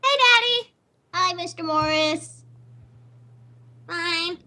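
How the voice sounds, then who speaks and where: Woman playing little girl, extremely high piercing voice, breezy, curt, sing-song, radio play. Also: animated, takes it slowly, bright, forceful tone, heavily acted. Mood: breezy, dismissive. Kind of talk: amateur radio play reading.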